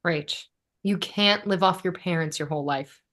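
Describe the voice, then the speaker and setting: A woman's voice: lowish voice, moderate pace, a bit bright, close to an everyday voice. A woman reading the part of an exasperated, blunt young roommate in a sitcom script, with emphatic, sardonic delivery. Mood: pointed. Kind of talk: sitcom script table read.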